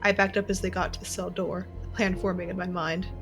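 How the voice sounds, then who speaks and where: A woman's voice: on the low side, little pitch movement, a little fast, close to an everyday voice. Woman acting as a tense young warrior woman over music. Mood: tense narrating. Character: angry, shaken young warrior woman narrating her captivity. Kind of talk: first-person dramatic audio drama narration.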